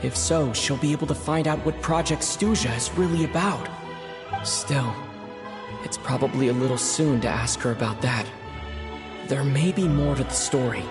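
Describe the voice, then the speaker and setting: A man's voice: mid-range voice, moderate pace, over music, close to an everyday voice. A man playing a pensive, tactful young traveler in a video game, musing to himself.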